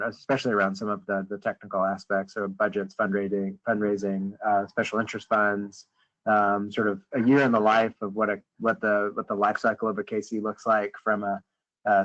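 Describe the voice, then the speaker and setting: Low-voiced man on a dull conference line. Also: fairly bright tone. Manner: hesitant, halting, often rephrasing mid-sentence. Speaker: meeting leader on a conference call. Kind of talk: informal meeting briefing. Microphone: conference call line. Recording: dull, lo-fi conference call audio.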